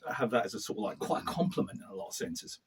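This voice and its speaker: Man, interviewer, husky, lively pitch, playful and jokey. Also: racing. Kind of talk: casual, joking interview chat.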